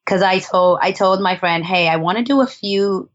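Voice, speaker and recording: A woman's voice: medium pitch, moderate pace. Casual woman rambling through travel stories, laughs a lot, kinda muffled lo-fi audio. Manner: chatty, laughs often, many fillers and restarts. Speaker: interview guest, traveler. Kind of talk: casual conversational storytelling.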